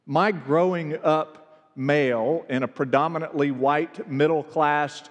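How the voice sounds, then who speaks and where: A man's voice: on the high side, fairly even intonation, takes it slowly, big-room sound. A man from the American South preaching a reflective sermon as pastor, earnest and building in emphasis.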